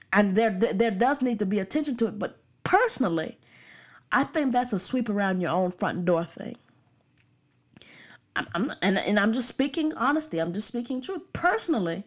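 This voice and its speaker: A young woman from the Birmingham suburbs, giving an earnest, emphatic opinion monologue that repeats its points. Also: on the high side. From Birmingham, Alabama suburbs.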